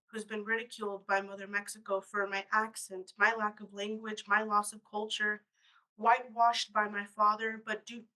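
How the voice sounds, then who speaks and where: A woman reading her own poem at a library event, with deliberate, emphatic, rhythmic delivery. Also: mid-range voice, sharp tone, low-quality recording. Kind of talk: live poetry reading.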